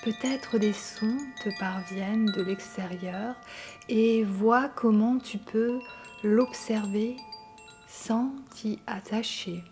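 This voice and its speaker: A woman guiding a yoga nidra meditation in a calm, soothing and gentle manner. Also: mid-range voice, slow pace. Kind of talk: guided meditation narration. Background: soft meditation music with chimes.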